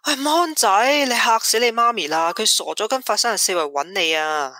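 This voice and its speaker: A woman with a Hong Kong Cantonese accent voicing a brash, generous godmother in a radio drama, who talks in a bossy, brisk way. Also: medium pitch, expressive, bright, forceful tone, dry recording, studio quality. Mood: scolding.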